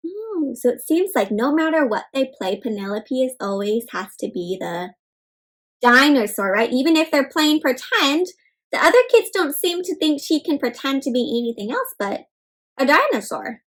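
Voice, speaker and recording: High, animated woman reading to children. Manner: asks listeners questions, pauses, addresses children as friends. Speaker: read-aloud storyteller and teacher. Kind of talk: interactive children's read-aloud.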